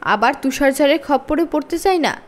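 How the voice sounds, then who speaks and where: A practical frontier father from a children's storybook, read by a woman. The voice is high, with animated pitch. He talks in a plain, reasoning way. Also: moderate pace.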